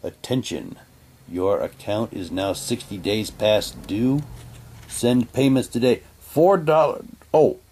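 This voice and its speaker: Slow-paced man, halting and casual. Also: lowish voice, warm tone, a little hiss or hum. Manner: halting, muttering asides to himself. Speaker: reviewer unboxing an audio drama's props. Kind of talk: casual chat, reading documents aloud.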